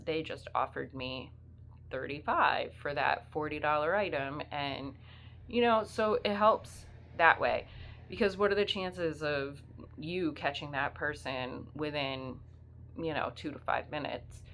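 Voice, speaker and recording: Woman, low voice, sniffly from the flu, chatty reseller vlog, faint background hiss. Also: unhurried, fairly bright tone. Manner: chatty, rambling, many fillers. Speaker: part-time reseller. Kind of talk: casual vlog chat.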